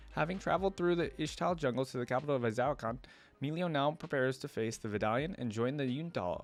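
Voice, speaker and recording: A man hosting a reaction video, casually commenting, reading aloud and thinking out loud as he explains things. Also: medium pitch.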